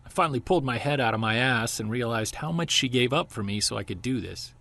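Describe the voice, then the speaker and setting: An actor playing a wry, self-deprecating amateur investigator in an audio drama, speaking in a conversational, emphatic way. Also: male voice, mid-range voice, expressive, fast, creaky, fairly bright tone, no echo. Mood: casual banter. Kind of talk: audio drama narration and casual dialogue.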